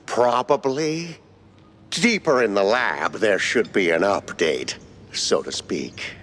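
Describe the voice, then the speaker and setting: A man's voice: lowish voice, lively intonation, slow pace, fairly bright tone, heavily acted. An actor playing an eccentric, obsequious lab caretaker in a cartoonish video game, rambling in a theatrical gothic henchman manner. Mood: melodramatic. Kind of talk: cartoonish game cutscene acting. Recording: long echoing reverb.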